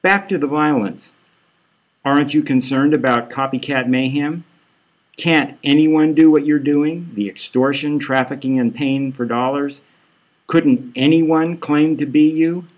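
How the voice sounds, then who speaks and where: A man's voice: a little high, fairly even intonation, unhurried, close to an everyday voice. A man voicing an earnest, probing male television interviewer in an audiobook, pressing and emphatic. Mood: challenging.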